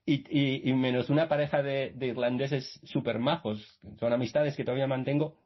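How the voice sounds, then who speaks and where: Brief: male guest, husky voice, meandering anecdotes, muffled call audio. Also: mid-range voice, fairly even intonation, moderate pace. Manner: rambling with many restarts and fillers. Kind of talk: casual storytelling in a radio interview.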